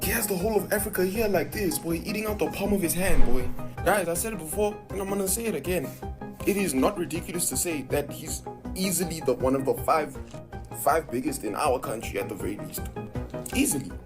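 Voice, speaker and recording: This speaker talks up an artist with loud emphasis and repeated lines. The voice sits at a middle pitch. Music plays clearly underneath. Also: lively intonation, brisk. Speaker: online music commentator. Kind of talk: hyped clip commentary.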